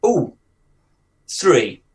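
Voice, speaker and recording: A man's voice: extremely slow, a little mellow. A British man co-hosting a fan podcast, talking casually and enthusiastically, often repeating words as he goes. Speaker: podcast co-host.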